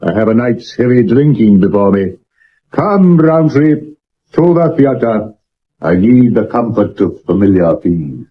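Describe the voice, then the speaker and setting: Pompous British thespian detective, animated and swooping, slightly rough voice, dull medium-wave radio drama sound. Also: male voice, lowish voice, a little slow, full character voice. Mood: weary, grandiose. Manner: grand, theatrical, self-dramatising. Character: vain, theatrical Victorian actor turned amateur sleuth. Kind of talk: 1970s radio drama acting.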